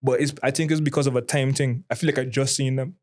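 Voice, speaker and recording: A man's voice: medium pitch, fairly even intonation, fast, a little mellow. Laid-back slangy Caribbean man. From Saint Martin, Caribbean. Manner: informal, slangy, reflective. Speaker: podcast co-host. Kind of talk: casual conversation.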